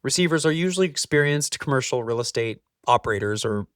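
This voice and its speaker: A man hosting a scripted explainer, speaking straight to the listener in punchy, emphatic short phrases with deliberate pauses. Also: medium pitch, animated, a bit bright, dry recording, clean studio sound, recorded from a distance. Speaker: host, capital-raising adviser.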